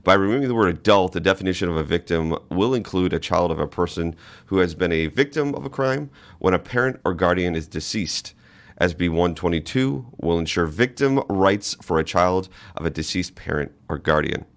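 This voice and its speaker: A man's voice: low-pitched, expressive, a little fast. A man from the Boston area hosting a political commentary podcast, talking casually and opinionatedly with frequent restarts. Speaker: podcast host.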